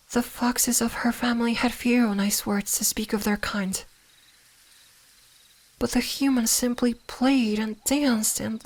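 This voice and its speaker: Soft, slow, gentle fox-spirit girl acted by a woman. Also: fairly high pitch. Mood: soft, nostalgic. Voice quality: soft, breathy, half-whispered. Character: gentle, lonely young female kitsune fox spirit. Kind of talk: ASMR roleplay, soft bedtime storytelling.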